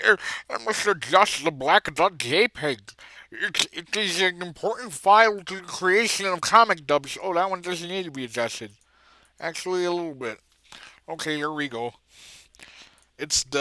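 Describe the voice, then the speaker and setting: Man muttering through his edit, bright voice. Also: a little high. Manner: halting, self-deprecating, muttering to himself. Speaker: video editor and comic dubber. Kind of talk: casual thinking-aloud screen-recording commentary.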